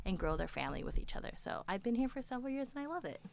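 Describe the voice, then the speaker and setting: A woman's voice: a little high, big pitch swings, very fast, creaky, darkish tone. A young woman from California, a podcast guest, answering questions earnestly in casual talk with many fillers.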